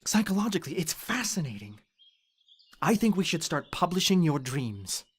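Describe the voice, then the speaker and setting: A man's voice: fairly high pitch, very animated, normal speed, husky. A young man playing an earnest, analytical student son in a radio play, articulate and reasoning. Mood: earnest, reassuring. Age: young adult.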